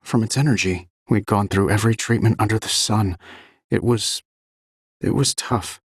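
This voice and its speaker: Earnest planet devotee npc, low husky voice, thoughtful n measured. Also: male voice, no echo, clean studio sound. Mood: pained, confiding. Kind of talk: video game character dialogue.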